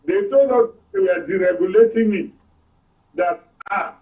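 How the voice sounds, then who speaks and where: A man's voice: unhurried, a little mellow. A man with a Nigerian accent giving explanatory commentary as an expert guest, in short, emphatic statements. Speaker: oil and gas expert guest. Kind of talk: explanatory political and economic commentary. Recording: distant, dull low-fidelity sound.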